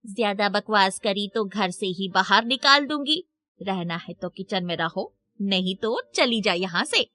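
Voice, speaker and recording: A woman's voice: mid-range voice, expressive, unhurried, bright, forceful tone. A woman voicing a heartless mother-in-law in a cartoon moral story, curt, scornful and bossy. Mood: cold, threatening.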